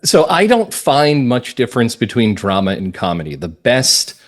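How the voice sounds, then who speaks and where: An American man talking as a guest writer in a conversational interview, explaining things reflectively and emphatically in short measured phrases. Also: medium pitch, big pitch swings. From northern Massachusetts, US. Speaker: guest playwright and comedy writer.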